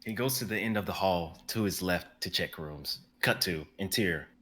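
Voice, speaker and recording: A young man narrating the stage directions of a dramatic reading in a steady, descriptive way. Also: deep voice, moderate pace, husky. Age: young adult.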